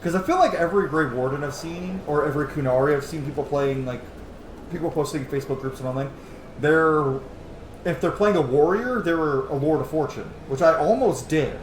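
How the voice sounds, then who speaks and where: A man's voice: fairly high pitch, brisk. A man giving casual, profanity-laced commentary while playing a video game. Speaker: gaming video host. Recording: roomy echo, clear background noise.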